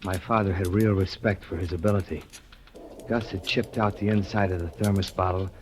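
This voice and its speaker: An actor playing an anxious young man torn between loyalty to his father and his conscience, speaking with uneasy tension and dropping to a hushed tone when he narrates, in a 1950s radio drama. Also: deep voice, fairly even intonation, a little fast, noisy background, natural voice. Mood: somber.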